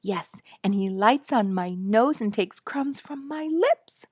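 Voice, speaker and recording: Woman acting an excitable, tender-hearted girl, pitched up. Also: slow, no echo, studio quality. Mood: excited. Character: earnest, animal-loving young daughter, excitable and tender-hearted.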